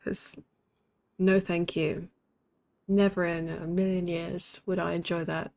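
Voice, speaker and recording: A woman's voice: fairly low pitch, little pitch movement, takes it slowly, vocal fry, a little mellow. Sweary woman streamer with a lisp. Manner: blunt and sweary, laughs often. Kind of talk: casual chat while playing a shooter game.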